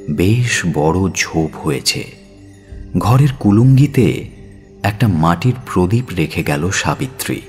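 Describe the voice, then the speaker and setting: A man reading a scripted audio story as a dramatic narrator. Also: low voice. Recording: music under the voice. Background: background music.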